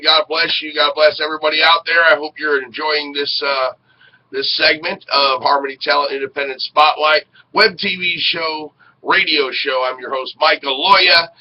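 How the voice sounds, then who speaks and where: A middle-aged man hosts a casual web show with effusive, folksy talk. His voice sits a little high, and the sound is dull and lo-fi with some noise behind it. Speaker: web radio show host. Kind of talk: casual hosting talk to camera. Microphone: headset. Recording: dull lo-fi webcam audio with noise.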